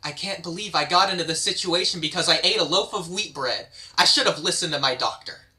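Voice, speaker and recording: Guy acting stubborn amnesiac stuck in purgatory, bright punchy voice, fantasy drama read. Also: fairly high pitch, fairly quick. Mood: defiant. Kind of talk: dramatic script reading.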